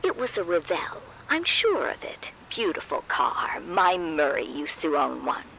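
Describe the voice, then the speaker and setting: A genteel elderly woman dithers slowly through game dialogue. Her creaky voice is sharp and swings widely in pitch, with ambience behind it. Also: big pitch swings, a little hiss or hum, heavily acted, low-quality recording. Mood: hesitant, helpful. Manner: dithering, slow. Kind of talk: video game cutscene dialogue.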